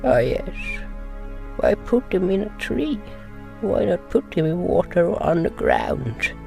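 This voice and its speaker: A blunt, inquisitive creature, played by a man in an audio drama. The voice is mellow but full of creak, and it picks its words slowly. Light music plays behind it. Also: sits high, sing-song, exaggerated voice. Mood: puzzled, curious. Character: blunt, curious creature puzzled by bird customs.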